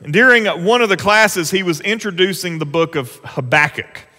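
A pastor, preaching an earnest, conversational sermon from a church stage. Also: male voice, high voice, animated, normal speed, pushed and bright. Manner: earnest, conversational preaching with rising emphasis on scripture. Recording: long church hall reverb.

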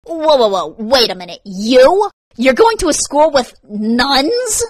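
A woman's voice: medium pitch, normal speed, fairly bright tone, dry recording, studio quality, pitched above the performer's own voice, close to an everyday voice. A woman voicing a hot-tempered, sarcastic, foul-mouthed young man in a story narration. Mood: mocking.

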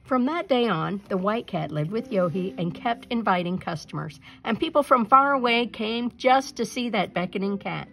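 A woman reading a children's picture book aloud as a storyteller, in an expressive narrating style. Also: medium pitch, moderate pace, creaky, few pauses.

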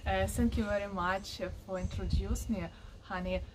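Woman in halting English. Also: mid-range voice, fairly even intonation, noisy background. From Ukraine. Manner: halting non-native English with many fillers. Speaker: co-owner of a marriage agency. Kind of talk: casual video introduction. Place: covered porch outdoors.

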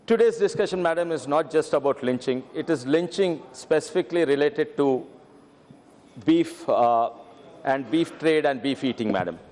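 Middle-aged guy, speech in parliament, slightly high voice, echo of a big hall. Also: lively intonation, normal speed, darkish tone. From Telangana, India. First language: Telugu. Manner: earnest, repeats "madam" often, brief stammers. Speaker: member of parliament. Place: large parliament chamber. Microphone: desk microphone. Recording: reverberant parliament chamber.

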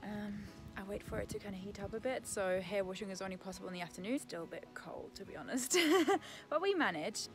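A young woman talking to the camera in a relaxed, chatty way as she vlogs from her rural homestead. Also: mid-range voice, brisk, soft background music. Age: young adult. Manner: relaxed, chatty, with soft laughs. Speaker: homesteading vlogger. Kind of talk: casual vlog talk to camera. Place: outdoor shelter on a rural homestead.

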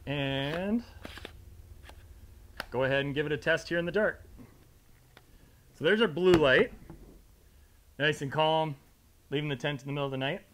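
American man, 30s, slightly high voice, informal gear reviewer talking to camera. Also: a little slow, pauses often, a bit bright, a little hiss or hum. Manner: conversational, informal. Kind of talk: casual product review to camera. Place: indoor studio with fabric backdrop.

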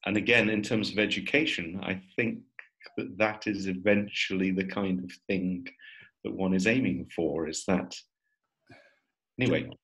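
An older man on a video call, speaking in a measured, thoughtful way. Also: low voice, fairly even intonation, fairly bright tone, poor audio. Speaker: discussion group member. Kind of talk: casual video call chat. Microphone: video call laptop mic.

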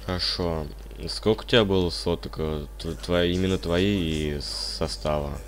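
Male interviewer, very deep voice, under the weather, terse questions. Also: steady tone, moderate pace. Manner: short, plain questions. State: a bit ill. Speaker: interviewer, channel host. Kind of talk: casual interview.

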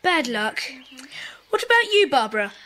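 High, bright, bossy British schoolboy. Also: female voice, sing-song, heavily acted. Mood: businesslike. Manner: brisk, organising, enthusiastic. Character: eager, bossy schoolboy leading a children's club. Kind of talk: children's audiobook dramatization.

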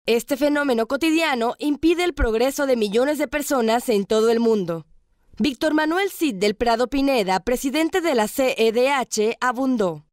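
A woman reporter reading a scripted news voice-over in a measured, formal way. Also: on the high side, animated, no room sound, clean studio sound.

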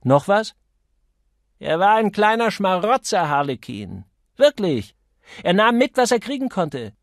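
A man's voice: high voice, sing-song, a little slow, no room sound, studio quality, exaggerated voice. A man voicing a flighty, spoiled young woman who chatters in a gushing, insistent way, as an audiobook character. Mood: gushing, excited. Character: flighty, spoiled young party woman, petulant and craving thrills.

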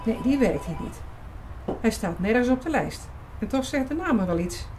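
An actress playing a friendly, curious young receptionist in a radio play, chatty and forthcoming. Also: female voice, a little high, expressive, moderate pace, darkish tone, soft background music, natural voice. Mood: helpful. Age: young adult. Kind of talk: Dutch radio play acting.

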